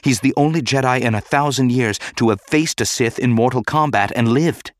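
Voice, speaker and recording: A man's voice: mid-range voice, moderate pace, pitched above the performer's own voice, natural voice. A man voicing an earnest young female senator in a dramatic audiobook, with an urgent, insistent manner. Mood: pleading. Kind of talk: dramatic audiobook character acting.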